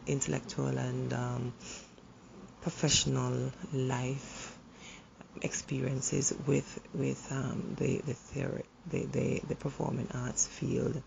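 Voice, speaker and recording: A woman who is hoarse from the flu, speaking in an earnest, encouraging way about relationships and pausing often to restart her sentences. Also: rumbling low, a little mellow, dull audio, slight background noise. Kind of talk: reflective motivational monologue.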